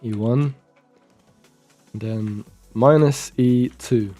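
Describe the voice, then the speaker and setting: A man's voice: medium pitch, very slow, mellow, soft background music. A young man from Romania, casually commenting while live coding and muttering code aloud as he types, with chatty asides. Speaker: programming streamer.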